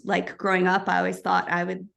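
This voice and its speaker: A woman's voice: lowish voice, completely flat delivery, fairly quick, vocal fry, a bit bright. A woman, a guest chatting on a podcast, chatty and laughing often with lots of fillers. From United States. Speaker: guest, travel agency co-founder. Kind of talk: casual podcast chat.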